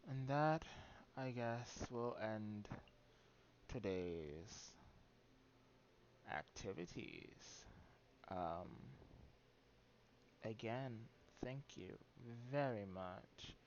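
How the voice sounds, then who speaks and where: A man giving casual, rambling livestream commentary on a game in a halting, loose way. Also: lowish voice, animated. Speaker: game streamer. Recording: muffled mic with background noise.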